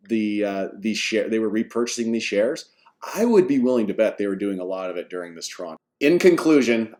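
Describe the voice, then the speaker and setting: A man talking through financial takeaways in an informal, explanatory way, with a measured, earnest, conversational manner. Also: fairly low pitch, darkish tone. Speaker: amateur investor presenting takeaways. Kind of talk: informal explanatory commentary over screen share.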